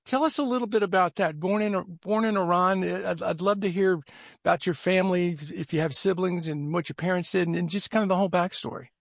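A man's voice: sits high, fairly even intonation, brisk, creaky, no room sound, clean studio sound. A man hosting a podcast in a friendly, casual interview style, often repeating words as he starts a sentence. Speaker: podcast host.

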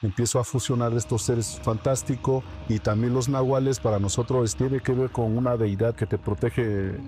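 A man with a Mexican Spanish accent, a guest painter speaking calmly in a radio interview, with some fillers. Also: medium pitch, soft background music. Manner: calm, unhurried, many fillers.

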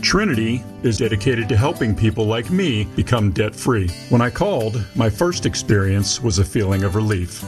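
A man reads a scripted testimonial for a radio ad in a friendly, plainspoken way. His pitch sits at a middle level. Plucked guitar music plays clearly behind him. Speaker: testimonial speaker in an ad.

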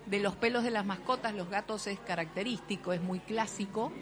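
A woman with an Argentine accent, a doctor giving health advice on a radio show in a lively, explanatory way. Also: medium pitch, brisk. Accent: Argentine Spanish. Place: radio studio.

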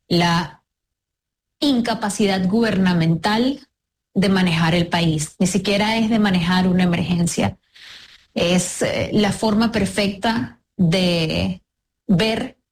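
Venezuelan woman interviewee, some background noise. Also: mid-range voice. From La Guaira, Venezuela. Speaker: interview guest, disaster survivor. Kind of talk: reflective personal testimony in an interview.

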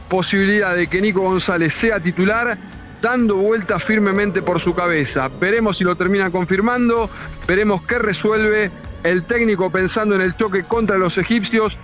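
High-voiced Argentine field reporter. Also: male voice. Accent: Argentine Spanish. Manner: steady, informative. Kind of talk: live on-site sports report.

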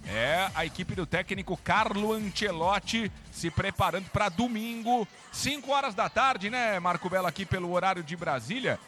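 A Brazilian man presents a live sports show in a fairly high voice. He punches and stretches his hand-offs, with music clearly playing underneath. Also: normal speed, pushed and bright. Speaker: sports broadcast host. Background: music bed.

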